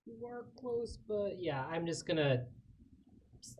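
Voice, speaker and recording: A woman's voice: lowish voice, animated, slow pace, darkish tone. A woman chatting casually as she takes her turn in a tabletop roleplaying game. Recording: clearly noisy room recording.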